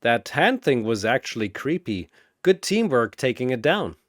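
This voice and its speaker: A man's voice: medium pitch, very animated, moderate pace, no room sound, clean studio sound, natural voice. A man voicing a boyish, good-natured, easily flustered superhero with casual youthful speech, as in a fantasy battle story. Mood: friendly.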